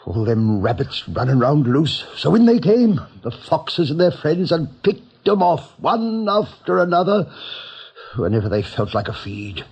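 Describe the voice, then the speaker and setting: A man playing a blunt veteran rabbit fighter in a radio play, with gruff, colloquial speech. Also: on the high side, very animated, unhurried, a little mellow, heavily acted. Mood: grim relish. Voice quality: gruff, husky. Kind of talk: radio drama acting. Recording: muffled 1980s radio recording.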